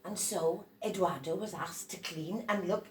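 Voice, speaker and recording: A woman reading a children's storybook aloud in a dramatic, animated way, stressing key words. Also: lowish voice, measured pace. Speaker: storybook reader. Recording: roomy echo, distant from microphone.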